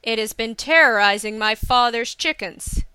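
A woman doing a proud, crisp-voiced young nobleman. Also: mid-range voice, normal speed, pushed and bright, no echo. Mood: demanding. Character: proud young landowner's son, demanding, then humbly formal.

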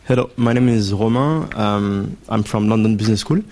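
A man in a lecture audience asks a direct question in a casual way. His voice is fairly low. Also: normal speed, a little mellow. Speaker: audience member asking a question. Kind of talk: question from the floor. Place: lecture hall.

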